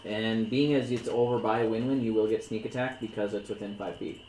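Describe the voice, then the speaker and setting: A man narrating as dungeon master for friends at a table, relaxed and joking. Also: on the low side, fairly quick. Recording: echoey room, light background noise.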